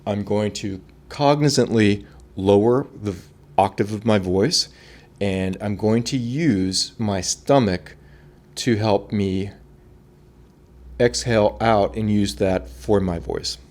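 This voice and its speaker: Low-pitched man coaching voice exercises. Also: slow. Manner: relaxed, conversational, offhand. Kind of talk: instructional talk.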